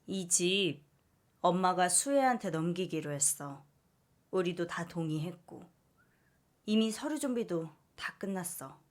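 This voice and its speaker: A woman's voice: lowish voice, animated, measured pace. Woman narrator as stern middle-aged aunt, firm, emphatic, scolding, protective, audio story.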